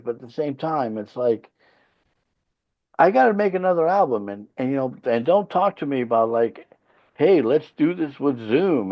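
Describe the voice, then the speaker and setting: Finnish-born guy, swedish first language, rock band leader on a podcast, cusses a lot and gets emphatic, kinda lo-fi sound. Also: medium pitch. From Finland. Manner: blunt, profane, emphatic storytelling. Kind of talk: casual interview chat.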